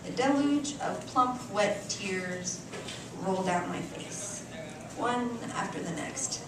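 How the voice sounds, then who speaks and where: A woman reads a story aloud on stage with theatrical, deliberate expression and dramatic pauses. Her medium-pitched voice rings through a very echoey room, picked up at some distance, with clear noise behind it. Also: expressive. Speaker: reader performing a short story on stage. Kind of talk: dramatic live literary reading. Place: indoor cafe stage before an audience.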